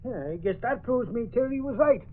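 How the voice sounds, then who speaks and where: An actor voicing an excitable, dim, folksy lawman with rough grammar in an old radio drama. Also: extremely high pitch, a little fast. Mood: astonished. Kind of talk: 1930s-1940s radio acting. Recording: tinny, hissy old radio recording.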